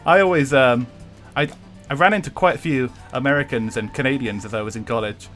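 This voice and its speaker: A man's voice: medium pitch, normal speed, a bit bright, soft background music. A young English man chatting casually with his viewers while streaming a racing game, swearing freely and laughing often.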